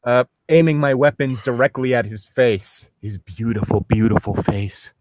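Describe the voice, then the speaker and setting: A man's voice: mid-range voice, moderate pace, a little mellow, no room sound. A young man mockingly reading fan fiction aloud and breaking off for sarcastic, sweary commentary. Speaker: reader and co-host.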